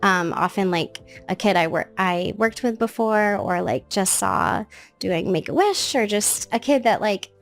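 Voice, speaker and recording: Woman, late thirties, high sing-song voice, animated chat, faint music behind. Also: unhurried, vocal fry. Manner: animated, jumps into bright baby-talk voices when quoting. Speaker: guest, children's show creator. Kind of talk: casual interview chat.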